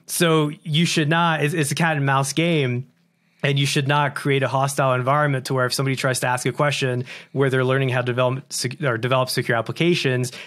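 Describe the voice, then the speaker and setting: A man in his thirties from France, a guest founder, telling stories with animated bursts and acted-out dialogue, on a broadcast mic. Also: mid-range voice. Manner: animated, quick bursts, acts out quoted dialogue. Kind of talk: casual interview storytelling. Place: home studio with posters and lamp. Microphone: large broadcast mic on boom arm, over-ear headphones.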